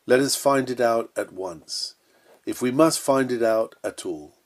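A man's voice: medium pitch, measured pace. A man reading an old-fashioned sermon aloud in an earnest, solemn and emphatic preaching manner. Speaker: sermon reader.

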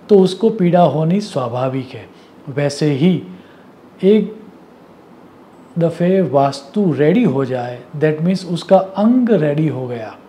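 Man, forties, slightly high pitch, unhurried pace, instructional delivery, English words mixed in. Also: dark tone. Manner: measured, didactic, mixes in English words. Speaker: Vastu consultant presenting. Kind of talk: instructional talk to camera. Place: indoor office or study. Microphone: lapel mic.

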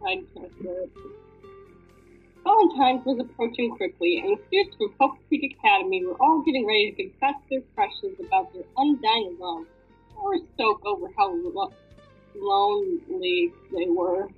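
A woman's voice: mid-range voice, sing-song, moderate pace, breathy edge, faint music behind. A woman reading fan fiction aloud casually, with informal, sweary joking asides. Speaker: fan fiction reader and commentator. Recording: thin, phone-like lo-fi audio.

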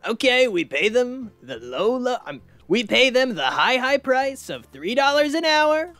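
A woman's voice: a little high, sing-song, measured pace, fairly bright tone, dry recording, soft background music. A woman hosting a gaming channel, improvising comic dub lines in a hesitant, mock-earnest manner. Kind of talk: improvised comic dubbing and casual chat.

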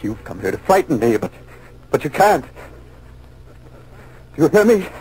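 An actor in a 1940s radio drama playing a guilt-ridden man cracking into terrified madness, halting and repeating himself. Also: a little high, sing-song, darkish tone, breathy edge, exaggerated voice. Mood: haunted, defiant. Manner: halting, repetitive, overwrought. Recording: noisy 1940s radio recording.

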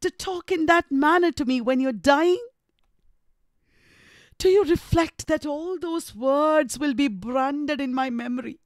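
A woman's voice: high-pitched, dry recording, studio quality, pitched above the performer's own voice. A woman reading the part of an anguished, savage, passionate male lover in an impassioned, accusing manner from a classic novel. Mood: savage, tormented.

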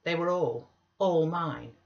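A woman's voice: deep voice, takes it slowly, creaky, darkish tone, exaggerated voice. A sickly, spoilt teenage boy played by a woman reading aloud whines petulantly in a storybook reading. The sound is a little dull. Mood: gloating, petulant. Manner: petulant, whining. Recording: slightly dull webcam audio.

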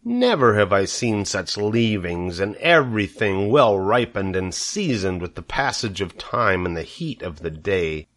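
A man voicing a gloating, greedy male rat with a husky, slow drawl. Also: fairly low pitch, big pitch swings, fairly bright tone.

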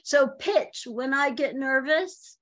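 A woman in her fifties, a communication coach, giving chatty, animated speaking advice with a high voice over a video call from home. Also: takes it slowly. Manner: chatty and animated, laughs often. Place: home room, indoors. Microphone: video call webcam.

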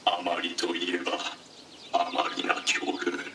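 A man's voice: low voice, monotone, measured pace. A man voicing a solemn, pompous machine lifeform with grandiose archaic speech, founding a kingdom in a game. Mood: resolute, solemn. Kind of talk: Japanese game voice acting. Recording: distant, echoing, noisy ambience.

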